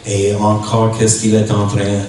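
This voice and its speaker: A man from New Brunswick, a native English speaker with an English-speaking Canadian accent, preaches as a visiting pastor in a halting way, in short phrases, searching for words. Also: on the low side, monotone, darkish tone. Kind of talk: sermon. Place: church hall during a worship service. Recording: echoey hall, distant mic, faint noise.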